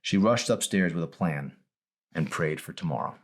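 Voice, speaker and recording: Male novelist, low register, audiobook-style narration of his own story. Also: animated, moderate pace, husky. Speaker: author reading his novel.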